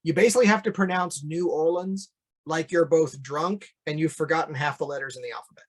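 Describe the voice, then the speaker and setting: A man guest in a casual chat, speaking in a measured storytelling way with small repeats. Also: on the high side.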